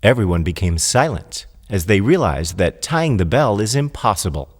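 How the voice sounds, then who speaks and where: Very animated male storyteller, long reverb. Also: fairly low pitch, normal speed. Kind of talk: scripted children's fable narration.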